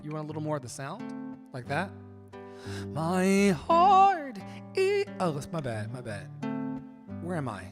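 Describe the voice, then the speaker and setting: A man speaks quietly and loosely between songs on his live stream, his words drifting into grateful asides. He talks through a close vocal mic with EQ and compression. Guitar music plays clearly under his voice. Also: a little high, wildly swooping pitch, a little slow, pauses often. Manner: soft-spoken, rambling, grateful asides to viewers. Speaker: live-streaming singer and host. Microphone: vocal microphone with EQ and compression.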